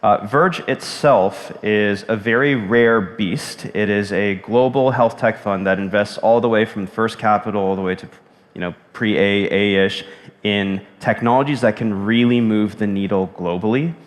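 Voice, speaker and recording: A man with a fairly low voice introduces himself on a conference panel. His manner is brisk and upbeat. His voice carries a long reverb from the hall. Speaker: panelist, investor. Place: conference stage.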